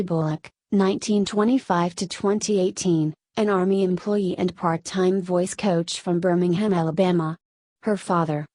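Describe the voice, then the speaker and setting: A woman reading an encyclopedia article aloud in an even, measured way, pausing at each date. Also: on the low side, dry recording, clean studio sound. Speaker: article reader. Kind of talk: scripted encyclopedia narration.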